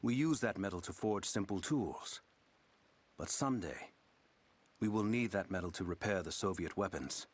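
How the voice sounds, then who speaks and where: A man playing a stoic survivor who passes on his people's lore, in grave, measured video game narration. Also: low-pitched, lively intonation. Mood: grave, instructive. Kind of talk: dramatic game document narration.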